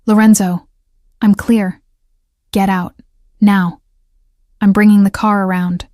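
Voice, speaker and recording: A woman's voice: mid-range voice, little pitch movement, slow pace, warm tone, dry recording, clean studio sound, close to an everyday voice. A woman voicing a determined young woman seeking revenge, speaking firmly and sharply. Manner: firm and cutting.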